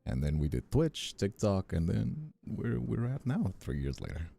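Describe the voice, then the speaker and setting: A man's voice: fairly low pitch, sing-song, moderate pace, vocal fry, mellow, husky. A young man from Brazil chatting casually, telling stories in a rambling, self-deprecating way. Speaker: ASMR voice actor and streamer. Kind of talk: casual chat.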